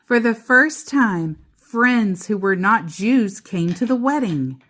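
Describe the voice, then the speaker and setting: Woman reading a storybook, very unhurried. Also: mid-range voice, animated, fairly bright tone. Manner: deliberate, pausing between short phrases. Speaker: storyteller reading a children's book aloud to a child. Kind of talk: read-aloud storytelling with casual asides.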